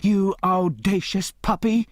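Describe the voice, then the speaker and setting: A man voicing a sharp-tongued older Southern woman who scolds in a high, shrill tone. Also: expressive, normal speed, no room sound, clean studio sound, close to an everyday voice. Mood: indignant.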